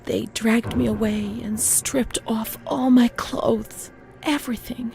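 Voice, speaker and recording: A woman playing a fragile, haunted young former asylum patient in a narrative game, delivering a slow, halting, confessional monologue in a breathy voice that often sinks to a whisper. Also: a little high, fairly even intonation, darkish tone. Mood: traumatized, anguished. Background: music.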